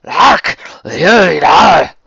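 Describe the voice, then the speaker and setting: Guy doing a big evil scottish golfer, loud n high, taunting blustery storybook baddie, muffled recording. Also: big pitch swings, normal speed. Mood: gloating. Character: gleefully villainous thick-set Scottish golfer, red-bearded.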